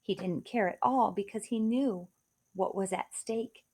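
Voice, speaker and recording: A woman's voice: mid-range voice, measured pace, darkish tone, slightly rough. A woman reading a rhyming children's story aloud in a theatrical, emphatic way. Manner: theatrical, emphatic delivery of rhymes. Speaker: storybook reader.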